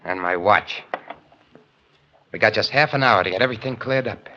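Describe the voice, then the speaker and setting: An actor playing a paranoid, gun-wielding killer in 1940s radio crime style, talking in tough slang. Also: male voice, fairly low pitch, normal speed, pushed and bright, a little hiss or hum. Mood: cold, menacing. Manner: slangy, tough-guy talk with double negatives. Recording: dull old radio recording with music.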